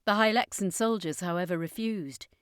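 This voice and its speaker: Low-voiced woman narrating an adventure story. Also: moderate pace, clear, resonant tone, dry recording, clean studio sound.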